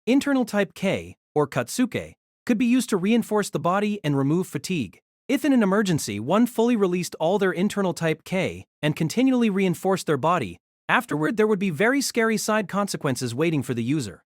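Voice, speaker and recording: A male narrator reading a light novel aloud, his voice sitting a little high and moving lively through each sentence. The recording is dry and close, with no room sound. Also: fairly quick, no echo.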